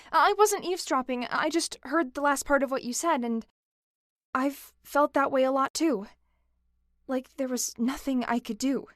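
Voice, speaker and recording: A woman acting a gentle, hesitant, encouraging cafe customer in a fantasy audio drama. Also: sits high, no room sound, clean studio sound, natural voice. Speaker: voice actor in a fiction podcast.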